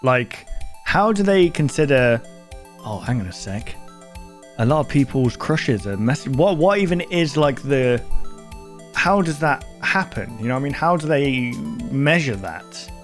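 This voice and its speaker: A man's voice: medium pitch, sing-song, measured pace, faint music behind. A man giving casual, rambling, off-the-cuff reaction commentary as a video host, often repeating himself.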